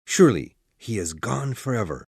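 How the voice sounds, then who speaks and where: A man's voice: lowish voice, sing-song, normal speed, vocal fry, a little mellow, slightly rough, no echo, clean studio sound, full character voice. A man playing a pale, shaken doctor who speaks in a thin, weak voice, anxious and insistent. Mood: agitated. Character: pale shaken middle-aged male doctor, nervous. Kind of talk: audiobook dialogue.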